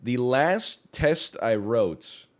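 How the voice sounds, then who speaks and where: A young man from Canada chats on a livestream, his voice slightly congested. He rambles through stories, corrects himself mid-sentence and throws in jokey asides. His pitch sits in the middle range for a man. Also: expressive, drawn-out words. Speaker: streamer. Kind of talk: casual chat.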